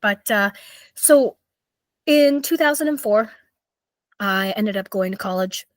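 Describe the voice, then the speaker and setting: Woman, raspy, thirties, podcast host, rambling chatty storytelling, mid pitch. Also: animated, slow, no echo. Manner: chatty, rambling, with many fillers. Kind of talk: casual solo storytelling.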